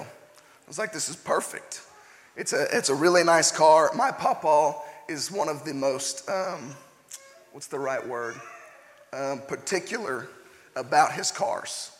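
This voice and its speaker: A young man delivers a relaxed sermon while hoarse, his voice a touch higher than most men's. He talks in a chatty way, full of "uh" and "um." A long reverb hangs behind him as in a church hall. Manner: chatty, frequent "uh" fillers. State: hoarse, partly lost voice. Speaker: preacher. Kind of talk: casual sermon with personal story.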